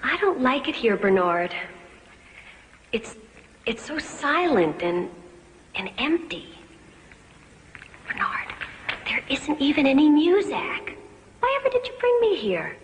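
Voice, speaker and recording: An actress plays an uneasy, conventional young woman who cannot understand. Her voice is high and lilting, in a drama scene with long reverb and faint noise. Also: very animated, slow, pauses often, exaggerated voice.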